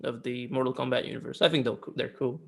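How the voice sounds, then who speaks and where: A man's voice: mid-range voice, level tone, fast, gravelly, a bit bright. A man co-hosting a casual film discussion, talking in an informal, emphatic way with some swearing. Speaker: podcast co-host reviewing films.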